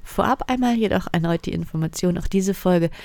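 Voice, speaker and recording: A woman hosting a casual, reflective podcast, hoarse from a cold, recorded on her phone. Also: on the low side, a little fast, darkish tone. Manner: thoughtful, at times breathy and whispery. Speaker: podcast host and coach.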